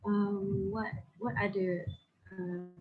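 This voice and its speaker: A woman moderating a webinar reads an audience question aloud, hesitating and filling gaps. Her voice is husky and comes from a distant mic, with faint guitar music behind her. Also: mid-range voice, little pitch movement, slow pace, phone-quality sound.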